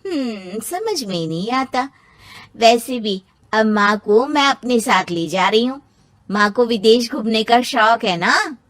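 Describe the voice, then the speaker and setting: A woman voicing a confident young sister-in-law with a needling manner in an animated moral story. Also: a little high, slow, dry recording. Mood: pointed.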